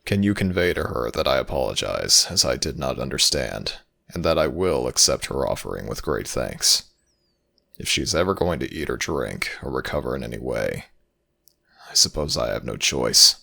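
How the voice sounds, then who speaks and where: A man performs a stern male Egyptian god in a dramatic audio roleplay. The voice is gravelly and gruff, moving widely in pitch. He speaks in formal, demanding phrases, like an ancient deity used to being obeyed. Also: sing-song, moderate pace, sharp tone, full character voice. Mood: reluctant, solemn.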